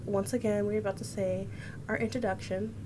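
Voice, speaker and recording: A woman's voice: mid-range voice, flat delivery, fairly quick, background noise. A woman in her thirties reading a visual novel aloud and adding casual commentary as a game streamer. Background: game music and Japanese game voices.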